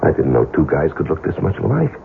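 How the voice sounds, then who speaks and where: Wry wary schemer, American, low gravelly voice, lively intonation, vintage radio drama. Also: male voice, fast talker, full character voice. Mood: wary, reluctant. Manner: wry, sceptical. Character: shrewd, wary man being drawn into a murder plot. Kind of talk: 1970s radio drama acting. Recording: tinny, faintly hissy old radio broadcast.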